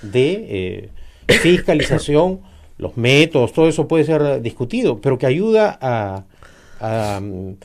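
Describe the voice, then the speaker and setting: A middle-aged Peruvian man talks politics in an interview, pressing his points hard. His pitch moves up and down a lot, and he stops often on fillers before going on. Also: very animated, measured pace, noisy background. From Peru. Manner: emphatic, argumentative, many hesitations. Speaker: political guest.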